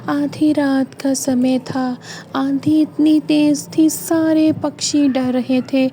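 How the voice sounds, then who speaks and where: High-voiced woman telling a cartoon tale. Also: level tone, slow pace, warm tone, a little hiss or hum. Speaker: storyteller. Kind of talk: scripted cartoon story narration.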